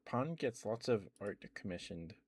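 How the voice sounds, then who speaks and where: Low-pitched man rambling in ASMR style. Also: animated, measured pace, darkish tone, breathy edge. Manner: affectionate, addresses viewers by pet names. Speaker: ASMR live streamer. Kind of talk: ASMR rambling, casual chat with stream viewers.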